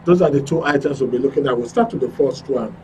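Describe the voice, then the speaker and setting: A man's voice: medium pitch, fast, a little mellow, recorded from a distance, a little hiss or hum, rough recording. A man with a Nigerian accent presenting current affairs as the host of a talk programme. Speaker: radio and TV host.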